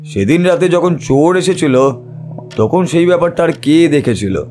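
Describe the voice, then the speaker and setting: An actor playing a shrewd, composed male private detective in an audio drama, measured, analytical and authoritative. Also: on the high side, lively intonation, mellow, lightly acted. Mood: reasoning.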